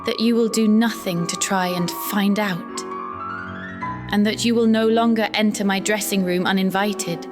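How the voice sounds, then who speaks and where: A woman playing a composed, solemn, enigmatic young woman in an audio drama, speaking in a measured, formal and grave way. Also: medium pitch, fairly even intonation, over music, close to an everyday voice. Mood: grave, insistent.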